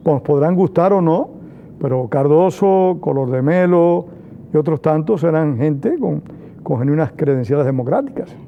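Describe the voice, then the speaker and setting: A man's voice: a little high, expressive, normal speed, vocal fry. Formal male TV interviewer, tinny sound. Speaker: TV interview host. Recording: tinny, phone-like sound with faint noise.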